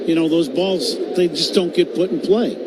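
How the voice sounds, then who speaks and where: A man's voice: a little high, normal speed. An American man giving conversational color commentary on a live baseball radio broadcast. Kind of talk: conversational live game analysis. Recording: noisy ballpark radio broadcast.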